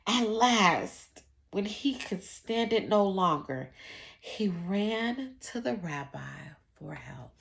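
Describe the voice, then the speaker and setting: A woman reading a children's story aloud, with expressive narration and deliberate explanatory asides to the listeners. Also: medium pitch, gravelly. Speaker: children's read-aloud teacher.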